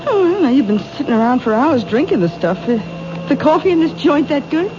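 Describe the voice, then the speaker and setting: A wisecracking American waitress chats in a high, swooping voice, nosy and forward. It is old radio drama, dulled and noisy. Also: female voice, very animated, brisk. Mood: curious, wary. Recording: noisy, muffled vintage radio broadcast.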